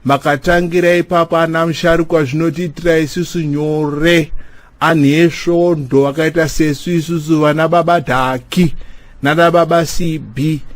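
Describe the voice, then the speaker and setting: Cartoon church elder, played by a man: exhorting and repetitive, slightly high male voice, dry close recording. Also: no echo, lightly acted. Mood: urging. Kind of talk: animated comedy cartoon dialogue in Shona.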